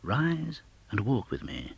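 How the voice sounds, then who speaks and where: A man voicing a gentle, solemn, ageless spirit in an audiobook, soft, hushed and low, speaking with grave, measured calm. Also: gravelly, husky, no echo, exaggerated voice. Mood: solemn, reassuring.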